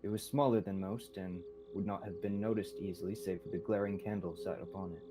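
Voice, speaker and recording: A man's voice: deep voice, steady tone, a little fast, over music. A young man reading scripted narration for a radio-style mystery drama. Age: young adult.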